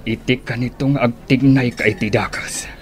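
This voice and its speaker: An actor playing a cold, threatening armed man in an Ilocano radio drama, speaking with measured menace. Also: medium pitch, steady tone, faint music behind, close to an everyday voice. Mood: cold threat.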